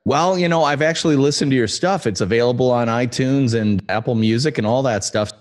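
A man hosting a podcast, asking questions in an animated, playful way. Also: mid-range voice, a little fast. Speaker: podcast host. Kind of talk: podcast interview and ad read.

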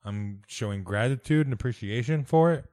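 A man co-hosts a comedy podcast. He keeps things relaxed and slips in deadpan jokes. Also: mid-range voice, normal speed. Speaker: podcast co-host. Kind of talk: casual podcast banter.

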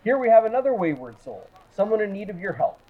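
An actor playing an earnest, persuasive go-between with an effusive manner in a radio drama. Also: male voice, high voice, sing-song, fast. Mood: persuasive, flattering. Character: earnest go-between pleading for a needy newcomer. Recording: tinny phone-like band.

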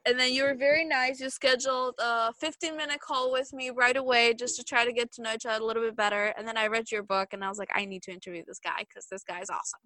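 A woman's voice: a little high, steady tone, quick pace, few pauses, sharp tone, no echo. A woman hosting a podcast interview, talking in an upbeat, chatty way with some fillers, her voice a little off from being sick. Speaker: podcast host.

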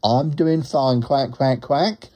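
Guy doing a chirpy traveling duck, quacks after everything, soft mellow voice. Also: mid-range voice, takes it slowly, natural voice. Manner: tacks quacks onto every sentence. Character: cheerful globetrotting duck who quacks constantly.

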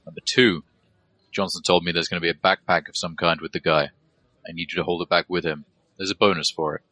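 A man's voice: low voice, little pitch movement, fast talker, pushed and bright. A man playing a businesslike, wary dwarf mercenary leader who gives terse, matter-of-fact orders in an audio drama. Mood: serious, confiding.

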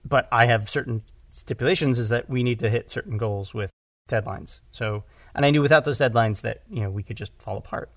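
A man's voice: medium pitch, a little fast, no room sound, clean studio sound. A man who directs audio drama, talking casually in a podcast conversation and often correcting himself as he goes.

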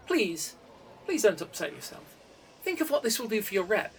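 A man voicing a smooth-talking male schemer with a soothing, calming manner, recorded a bit distant from the mic. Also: sits high, moderate pace, a little hiss or hum, voice pushed up, heavily acted. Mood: persuasive.

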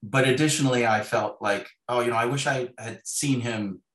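A middle-aged Canadian man talking reflectively and casually as an interview guest, halting and searching for his words. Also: medium pitch, fairly bright tone. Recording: roomy, echoey voice pickup.

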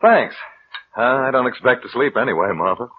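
An American actor playing a bitter, fearful schemer with intense, dramatic delivery in mid-century radio suspense style. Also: male voice, medium pitch, lively intonation, brisk, a little hiss or hum, close to an everyday voice. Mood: tender, uneasy. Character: bitter, fearful man, scheming lover turned accuser. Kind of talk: 1940s-50s American radio suspense acting. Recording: muffled vintage radio recording.

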